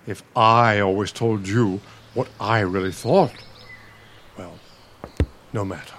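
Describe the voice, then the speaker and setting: An actor with an American accent playing a grumpy, needling older husband in a radio play, with a dry, sardonic manner. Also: male voice, lowish voice, fairly even intonation, slow, fairly bright tone, a little hiss or hum. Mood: testy.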